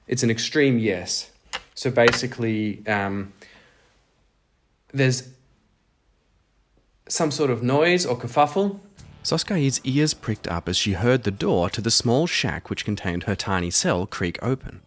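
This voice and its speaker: A man hosting a solo role-playing audio drama, moving between scripted story narration and casual play-by-play of the game. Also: on the low side. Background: music.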